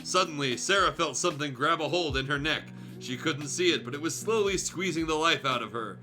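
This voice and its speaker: A man with a sharp, bright, slightly high voice reads fan fiction on a stream. He moves between narration and crude, joking commentary. Music plays behind him. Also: brisk. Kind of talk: story narration with casual, irreverent asides.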